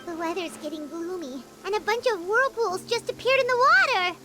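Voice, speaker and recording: A tiny floating fairy companion in a video game, squeaky and excitable, her very high voice jumping all over. Loud game music sits under her lines. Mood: alarmed. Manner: squeaky, excitable, quick to gasp.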